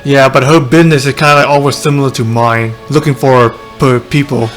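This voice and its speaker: A woman's voice: very deep voice, steady tone, fairly quick. A woman streaming a video game with casual, off-the-cuff commentary. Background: faint game music.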